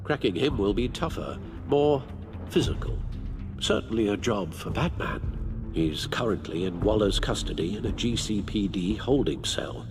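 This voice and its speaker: An actor playing a loyal older butler in a video game, refined, formal and concerned. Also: male voice, fairly low pitch, expressive, normal speed, over music. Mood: concerned, advisory.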